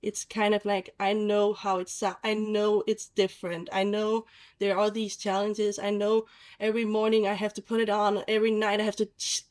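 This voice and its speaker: Earnest young Danish woman, flat tone. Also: mid-range voice. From Denmark. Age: 20s. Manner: earnest, with halting phrasing and restarts. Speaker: patient support worker. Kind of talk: casual interview talk.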